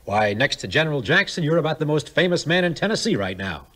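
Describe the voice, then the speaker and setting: An actor playing a scheming military major turned politician, flattering and oily, with a husky voice in a 1950s audio play. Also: male voice, on the high side, quick pace. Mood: oily flattery. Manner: flattering, unctuous.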